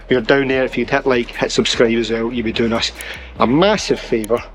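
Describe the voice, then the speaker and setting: A man's voice: medium pitch, fairly even intonation, a little fast, over music. A young man with a sore, fading voice, chatting casually to a handheld camera as a vlog host in a large warehouse. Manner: chatty, upbeat, talks while working. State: hoarse, losing his voice. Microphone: handheld camera at arm's length.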